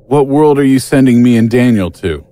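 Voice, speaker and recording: A male actor plays a plain-spoken private eye with a mid-pitched voice. He talks bluntly and informally, like an ordinary weary guy, in a naturalistic audio drama style with a very dry sound. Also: lively intonation, normal speed, mellow, no echo, close to an everyday voice. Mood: resigned, gruff. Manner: blunt, informal, matter-of-fact.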